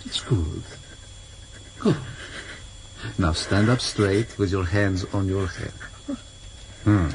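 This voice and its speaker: An actor playing a cultured, mockingly courteous older foreign interrogator, formal and deliberate, in a vintage radio drama. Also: male voice, low voice. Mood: menacing, polite. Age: middle-aged or older. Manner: formal, deliberate, mock-polite. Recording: slightly noisy vintage radio recording.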